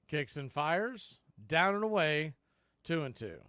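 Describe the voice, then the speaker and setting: A man calling baseball play-by-play as a radio announcer, in clipped, folksy phrases that range from quiet to excited. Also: a little high, slow, clear, resonant tone, no room sound, clean studio sound. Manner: swings from hushed calls to excited shouts. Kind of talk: live radio play-by-play commentary.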